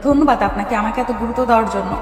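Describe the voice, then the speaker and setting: A woman's voice: fairly high pitch, racing, close to an everyday voice. A woman playing an affectionate, slightly sulky young wife in an audio story drama, coaxing and plaintive. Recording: echoey reverberant hall, faint music underneath.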